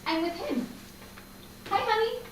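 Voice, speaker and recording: A bold young woman played by an actress, coaxing and playful in a staged comedy. Her voice is high-pitched and comes from a distance in an echoey room with faint noise. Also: unhurried. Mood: breezy bluffing. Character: bold, cheeky young woman bluffing as a sweetheart. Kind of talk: staged play reading.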